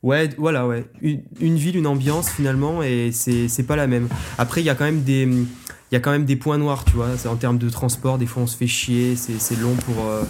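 A man's voice: mid-range voice, fairly even intonation, a little fast, a little mellow, slight background noise. A man in his twenties from Auvergne, co-hosting a podcast in a loose, joking chat full of fillers. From Auvergne, France. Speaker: podcast co-host. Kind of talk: casual chat.

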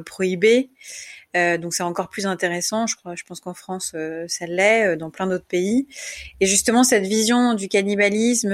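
A woman co-hosting a casual discussion podcast, talking hesitantly with many fillers and restarts. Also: mid-range voice. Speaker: podcast co-host.

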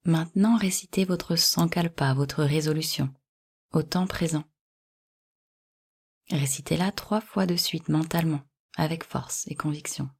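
A woman guiding a yoga nidra relaxation in calm, measured short instructions. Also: sits low, breathy edge. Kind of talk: guided relaxation meditation.

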